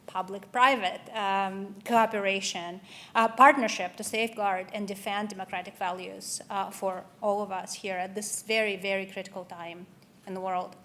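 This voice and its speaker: A woman's voice: medium pitch, a bit bright. A woman from Russia giving a personal, earnest conference keynote, with frequent ums and restarts. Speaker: keynote speaker. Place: event hall.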